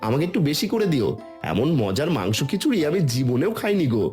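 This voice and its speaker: A man's voice: medium pitch, very animated, fast, a little mellow, faint music behind, natural voice. A man voicing a kindly village food seller and cheerful father in a cartoon. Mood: warm, fatherly. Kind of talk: cartoon voice acting.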